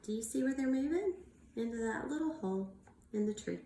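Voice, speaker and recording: A woman's voice: fairly high pitch, expressive, brisk, mellow, echoey room, a little hiss or hum. A woman teacher reading aloud to young children, encouraging them with questions and exaggerated enunciation. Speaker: early-years teacher.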